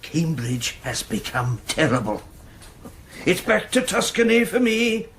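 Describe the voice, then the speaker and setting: An actor playing a grumpy, pompous professor who complains querulously in a radio drama. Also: male voice, on the high side, big pitch swings, unhurried, a bit bright, a little hiss or hum, full character voice. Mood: indignant, grumbling. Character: grumpy, indignant male professor, self-pitying convalescent.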